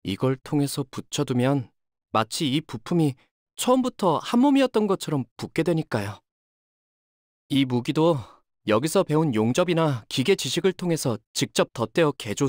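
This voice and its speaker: Young repairman, boyish, fairly high voice, polite, earnest, hesitant, game character, dry recording. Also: dry recording. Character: earnest, polite young boat repairman, modest and easily flustered. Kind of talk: video game character voice lines.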